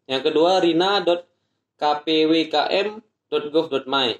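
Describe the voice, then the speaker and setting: A man from Indonesia explaining an application process step by step in a vlog, spelling out addresses slowly. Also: fairly high pitch, echoey room. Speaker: vlogger.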